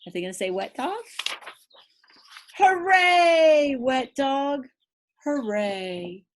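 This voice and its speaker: A woman's voice: sits high, lively intonation, slight background noise. A woman storyteller reading a picture book to children, playful and rhythmic, with chatty asides. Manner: playful, drawn-out, rhythmic delivery. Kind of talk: animated picture-book read-aloud with asides to young listeners.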